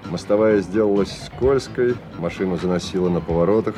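A man narrating a novel in a radio drama as a measured, sober storyteller. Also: low voice, music in the background, close to an everyday voice.

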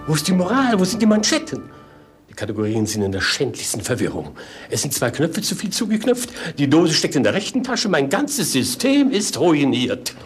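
A male actor playing a flustered, pompous, philosophizing king in a 1950s radio play, theatrical and halting. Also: mid-range voice, lightly acted. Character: muddled, pompous, anxious philosophizing old king. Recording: 1950s radio drama recording.

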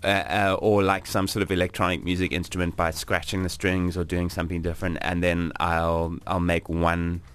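A man's voice: low voice, level tone, moderate pace, few pauses, fairly bright tone, clean tone. A young man from South Africa, a guest musician chatting in a radio interview, hesitant with many fillers and restarts.

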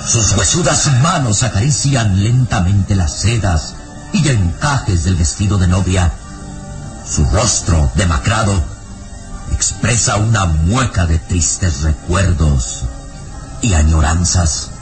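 A man's voice: low voice, lively intonation. A man with a Mexican accent narrating a gothic radio serial in a solemn, theatrical manner. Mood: solemn, dramatic. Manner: slow-phrased, solemn, theatrical. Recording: old radio serial with background music.

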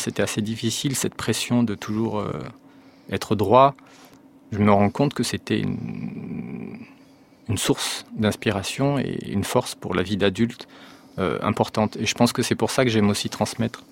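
A man's voice: fairly low pitch, measured pace, vocal fry, slight background noise. A man in his forties, born in France, a novelist answering radio interview questions with many fillers and restarts. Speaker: novelist guest.

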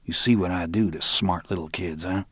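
A man voicing a cocky, coolly threatening enforcer with a folksy, unhurried manner in an audiobook. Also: low voice, pushed and bright, no room sound. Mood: smug, threatening.

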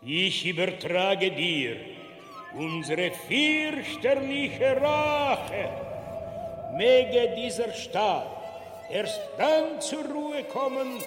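A solemn royal ghost, declaiming ceremonially in a very high voice. The words crawl out through cavernous echo and noise, as in a spoof radio play. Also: male voice, drawn-out words, exaggerated voice. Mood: solemn, vengeful. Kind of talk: parodic radio drama.

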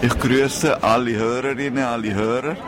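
A man's voice: medium pitch, fairly even intonation, moderate pace. A man with a Swiss German accent greeting listeners, recorded outdoors near traffic. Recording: loud outdoor street traffic noise.

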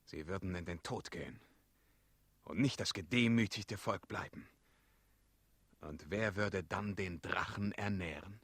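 A brave, lovestruck knight in 1960s radio drama style. His voice is low and breathy, recorded very dry. He speaks earnestly and intimately, like a sincere romantic hero. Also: a little slow, pauses often, fairly bright tone, no echo, full character voice. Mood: challenging. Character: earnest, brave knightly male dragon-slayer in love.

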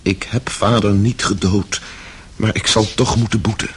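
An actor playing a tormented, self-loathing Russian prisoner in a radio drama, slow and confessional in manner. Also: male voice, lowish voice, steady tone. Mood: brooding resolve. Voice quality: husky.

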